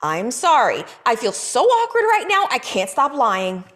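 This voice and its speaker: Brief: actress as a deadpan young writer, higher voice with lively swings, teasing. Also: female voice, measured pace, full character voice. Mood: defensive. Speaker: actor at a table read. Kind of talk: comedic table read.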